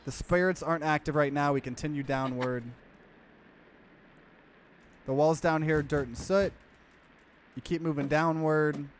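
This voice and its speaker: A man with a fairly high voice narrates a game for a let's play. He reads on-screen text plainly, then slips into casual, offhand commentary. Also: fairly even intonation, a little slow, pauses often, slight background noise.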